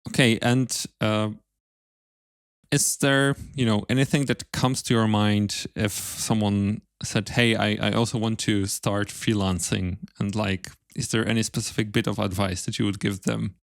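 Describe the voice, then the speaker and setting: A man's voice: fairly low pitch, little pitch movement, a little slow, gravelly, dry recording, studio quality. A man hosting an interview, asking casual questions in a polite, appreciative way. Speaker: podcast host, interviewer.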